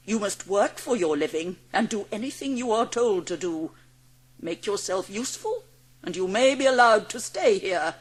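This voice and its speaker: Haughty, cruel schoolmistress with swooping pitch. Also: female voice, breathy edge, rough recording. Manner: imperious, scornful, drawn-out emphatic delivery. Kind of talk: dramatised audiobook acting.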